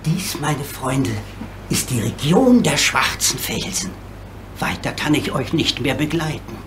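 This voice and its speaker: Man playing a swooping, gentle old gentleman giant. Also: mid-range voice, measured pace, heavy background noise, close to an everyday voice. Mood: ominous warning. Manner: polite, explanatory, theatrically expressive. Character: gentle, lonely old male apparent giant, courteous and helpful. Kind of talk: radio play acting.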